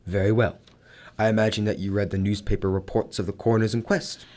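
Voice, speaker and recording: A man's voice: lowish voice, level tone, fast, darkish tone, natural voice. A man playing a blunt, demanding, hot-tempered mining magnate in an amateur radio drama. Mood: brusque, insistent. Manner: blunt, commanding.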